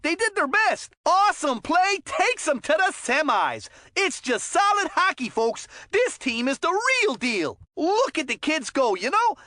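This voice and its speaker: Excitable, high-pitched folksy hockey commentator. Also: measured pace, few pauses, no room sound, studio quality, exaggerated voice. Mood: thrilled. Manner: folksy, chatty, eager, slangy interjections. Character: excitable, folksy kids' hockey game commentator, cheerful and wholesome. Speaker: sports commentator. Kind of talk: animated sports game commentary.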